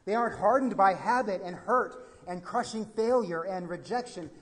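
A man in his thirties with an American accent, preaching a sermon from the pulpit and moving between quiet, reflective passages and emphatic appeals. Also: high voice, moderate pace. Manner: animated, gesturing, swings from quiet to emphatic. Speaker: pastor. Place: church sanctuary at a pulpit. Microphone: pulpit microphone. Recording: reverberant church hall.

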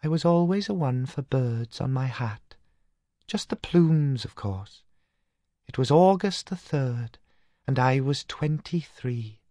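A man's voice: medium pitch, animated, slow, warm tone, no echo, voice pushed up. A dreamy, vain young Welsh woman's ghost played by a man speaks wistfully in a spooky storybook. The voice sits well above the reader's own. The sound is dry. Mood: wistfully proud.

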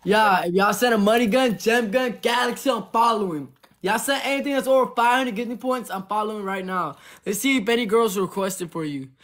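A young man hosting a phone livestream, teasing his guest and shouting out viewers who send gifts. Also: sits high, fairly quick, hardly any pauses. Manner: boastful, teasing, shouting out gifters. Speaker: livestream host. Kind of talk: casual livestream banter. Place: indoor room. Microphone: phone at arm's length.

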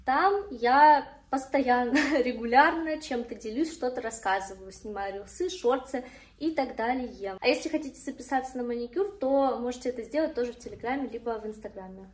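A woman's voice: on the high side, brisk. A woman in her twenties talking casually to her phone as a manicurist vlogger, chatty and a little self-deprecating. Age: 20s. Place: small indoor kitchen and studio rooms. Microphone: phone at arm's length. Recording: clear background room noise.

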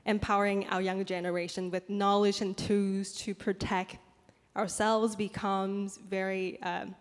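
A young woman whose first language is Cantonese, reading a prepared statement from her laptop into a handheld microphone at a conference, with frequent pauses and ums. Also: medium pitch, flat delivery. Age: young adult. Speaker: youth panel speaker. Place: large conference hall. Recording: reverberant conference hall.

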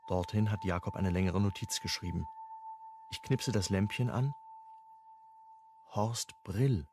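An actor playing a reflective, wry amateur sleuth, narrating a radio crime drama in an understated, pensive way. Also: male voice, sits low, a little slow, music in the background. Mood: tense, observant.

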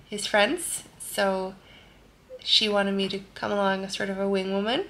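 A woman in her thirties with a Norwegian accent, answering viewer questions calmly while lying in bed, recorded by a close camera in a bedroom. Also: mid-range voice, vocal fry, slight background noise. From Norway. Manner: calm and gentle, lying in bed while talking. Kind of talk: soft-spoken casual Q&A. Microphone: camera close at face level.

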